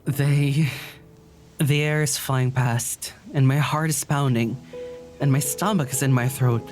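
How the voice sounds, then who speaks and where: Audio drama lead, actor-played: anxious, earnest poet, mid-range androgynous voice, halting delivery, music underneath. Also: medium pitch, fairly even intonation, darkish tone, close to an everyday voice. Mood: dreamy, awed. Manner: halting, stammering, poetic, earnest.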